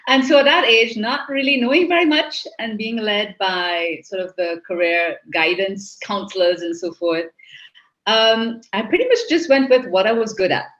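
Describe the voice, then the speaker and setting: A woman's voice: medium pitch, normal speed, a bit bright. Trinidadian woman, thoughtful interview guest. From Trinidad and Tobago. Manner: reflective, with frequent restarts. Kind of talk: interview conversation. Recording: slightly muffled audio.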